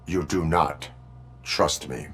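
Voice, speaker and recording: An actor playing a stern male cyborg police officer in a game cutscene, with a flat, clipped, mechanical delivery. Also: very deep voice, takes it slowly, heavily acted. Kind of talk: video game cutscene acting.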